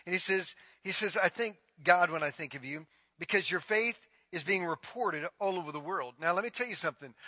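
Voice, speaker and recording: A man's voice: high voice, pushed and bright, no echo. A man preaching a sermon, emphatic and repetitive as he drives each point home. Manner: emphatic preaching, repeating phrases. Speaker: pastor.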